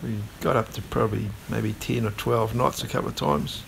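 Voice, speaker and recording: A relaxed, plain-spoken man narrates his sailing footage. His voice is strongly gravelly and sits at an ordinary pitch. A faint hiss runs under the recording. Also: normal speed, rough recording. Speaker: sailing vlogger. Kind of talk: casual voice-over narration.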